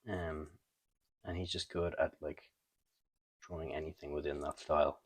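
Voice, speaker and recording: A man hosting a comic book review in a casual, unscripted way, thinking aloud with halting, trailing pauses. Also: a bass voice, level tone, breathy edge. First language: not French. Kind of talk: casual unscripted show-and-tell commentary.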